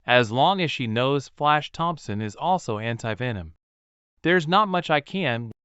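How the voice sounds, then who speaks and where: Man as animated, determined masked vigilante. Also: mid-range voice, unhurried, smooth, dry recording, muffled recording. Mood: brooding. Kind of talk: comic dub voice acting.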